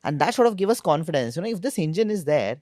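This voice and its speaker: A man's voice: on the high side, sing-song, fast talker, a little mellow, no echo, clean studio sound. A man with an Indian accent, a startup founder answering conversationally in an interview.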